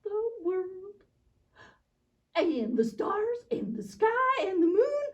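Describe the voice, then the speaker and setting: A woman's voice: very high-pitched, sing-song, takes it slowly, dark tone, far from the mic, exaggerated voice. A woman playing a kind, enthusiastic teacher in a children's church puppet show, explaining simply and earnestly. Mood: awed, delighted.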